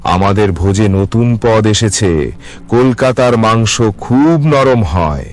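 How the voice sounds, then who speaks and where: A man voicing sinister ghosts hungry for human flesh, in a drawn-out, menacing horror-story delivery. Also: lowish voice, lively intonation, a little mellow. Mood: hungry menace.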